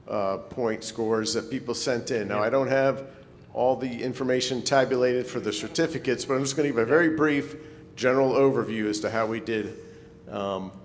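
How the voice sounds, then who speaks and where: A man giving an informal, slightly hesitant club presentation in a meeting hall. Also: normal speed. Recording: echoey hall, rumbling background noise.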